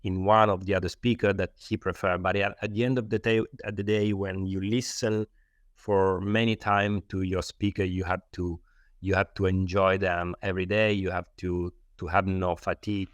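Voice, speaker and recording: A man's voice: sits low, little pitch movement, normal speed, no echo. A man with an Italian accent, a non-native English speaker, giving explanatory interview answers and often searching for words. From Italy. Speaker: loudspeaker designer, interview guest.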